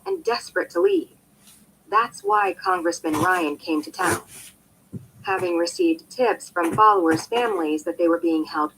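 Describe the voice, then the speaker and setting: A woman reading a scripted nonfiction audiobook in an expressive, emphatic storytelling manner. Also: lowish voice. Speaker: audiobook narrator. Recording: poor amateur copy, faint background noise.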